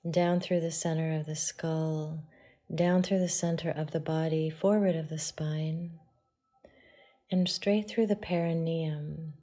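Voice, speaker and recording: A woman teaching yoga meditation, guiding listeners in a soft, hushed delivery with pauses. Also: deep voice, steady tone. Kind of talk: guided meditation.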